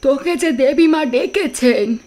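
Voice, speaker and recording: A woman playing an eerie, devout old village woman in a horror audio story, ominous and drawn-out. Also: sits high, darkish tone. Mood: ominous summons. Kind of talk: horror audio drama acting.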